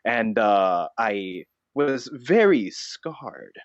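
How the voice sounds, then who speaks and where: Man doing a low, weird-voiced bat vigilante notebook. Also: sing-song, no room sound, muffled recording, full character voice. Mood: solemn, wounded. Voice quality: put-on scary, weird voice. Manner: halting, earnest, self-serious. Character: solemn, deluded vigilante notebook dressed as a bat. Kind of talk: improvised comedy character interview.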